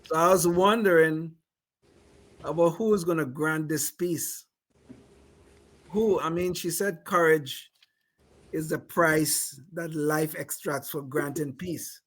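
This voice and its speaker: Older man, podcast panelist, slightly high pitch, questioning, halting. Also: steady tone, fairly bright tone. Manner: questioning, searching for words. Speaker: panelist, retired engineer. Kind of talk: casual panel chat.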